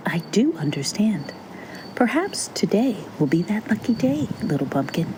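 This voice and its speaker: A woman voicing a chatty, kindly storybook crow, with squawking caws and gentle, reassuring talk. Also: mid-range voice, very animated, normal speed, noisy background, natural voice. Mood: kindly, encouraging.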